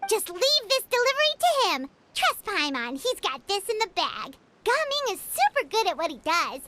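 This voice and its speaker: A woman's voice: very high voice, sing-song, normal speed, noisy background. A woman voicing a small, cheerful, talkative fairy companion in a video game, bubbly and eager in manner. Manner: refers to self in third person, bubbly, eager.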